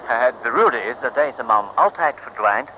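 An actor playing a courteous, excitable English gentleman with an English accent, hesitantly mixing English into Dutch in an old comic radio serial. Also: medium pitch, moderate pace. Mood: earnest, hesitant. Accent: English, put on. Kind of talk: 1950s-60s comic radio serial acting. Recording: dull, hissy old radio recording.

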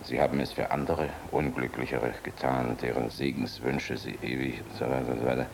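An actor playing a defiant, world-weary middle-aged general in a German radio drama, sardonic, brooding and biting. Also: male voice, very deep voice, flat delivery, brisk. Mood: weary reading aloud. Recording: clearly noisy radio drama recording.

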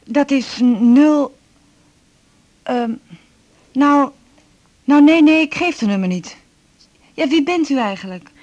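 An actress playing a wary, flustered married woman in a radio drama, speaking in a halting, guarded way. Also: high voice, a little mellow, dry recording. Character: wary, flustered wife answering a stranger's phone call. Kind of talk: naturalistic radio drama dialogue. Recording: dry, dull radio recording.

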